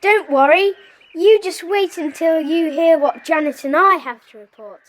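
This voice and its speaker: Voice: very high voice, normal speed, a bit bright. A performer playing an eager, inquisitive British child detective in an audio drama, speaking earnestly.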